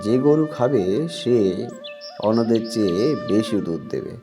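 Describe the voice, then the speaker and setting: A man voicing a wise old village elder in a cartoon, giving slow, gentle advice. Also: fairly low pitch, lively intonation, music in the background. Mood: advising.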